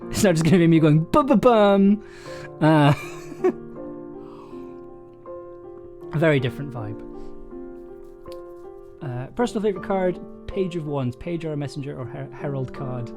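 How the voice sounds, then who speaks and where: A man's voice: a little high, animated, a little slow, long pauses. Casual, thoughtful man over background music. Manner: hesitant, thinking aloud, jokey. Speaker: streamer and audio drama writer. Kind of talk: casual livestream chat, reading aloud from own story draft. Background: chill soundtrack music.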